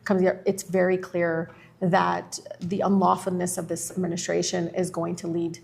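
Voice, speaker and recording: A woman's voice: on the low side, monotone, moderate pace, creaky, a little mellow, slightly rough. A woman politician answering interview questions, earnest and plain-spoken, telling anecdotes. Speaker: politician guest.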